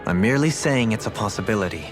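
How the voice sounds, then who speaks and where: Man playing a low-voiced, erudite gentleman mage. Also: lively intonation, lightly acted. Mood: pensive. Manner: formal, measured, scholarly. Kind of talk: dramatic video game dub acting. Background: background music.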